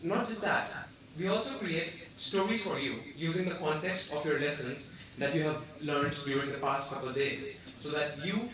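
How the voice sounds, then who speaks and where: A man giving an eager, earnest hackathon elevator pitch to an audience, with an occasional filler. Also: moderate pace, a bit bright, a little hiss or hum. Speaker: hackathon team presenter. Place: large hall with an audience. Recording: echoey hall from far off with crowd.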